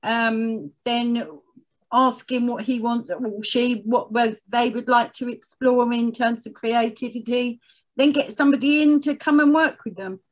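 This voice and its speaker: Female podcast guest, fairly high voice, conversational personal storytelling. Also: a little slow, poor audio. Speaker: podcast guest, charity chief executive.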